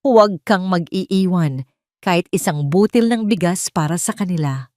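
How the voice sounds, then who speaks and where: A woman's voice: on the low side, moderate pace, a little mellow, dry recording, studio quality, close to an everyday voice. A woman voicing a betrayed, wealthy wife who is cold, resolute and commanding, in an audio drama.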